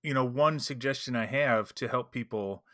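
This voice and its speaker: A man's voice: medium pitch, normal speed, fairly bright tone, no room sound, studio quality. A man co-hosting a podcast, chatting casually and emphatically about tabletop role-playing. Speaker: podcast co-host.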